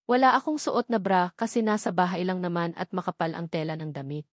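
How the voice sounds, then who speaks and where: A woman's voice: on the low side, brisk, dry recording, clean studio sound. A woman reading a scripted romance novel aloud as a first-person narrator. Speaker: audiobook narrator.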